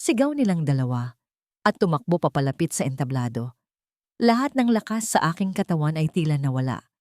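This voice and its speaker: A woman narrating a scripted drama in the first person, with deliberate, dramatic short phrases. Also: sits low, sing-song, dark tone, no room sound, clean studio sound. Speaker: story narrator.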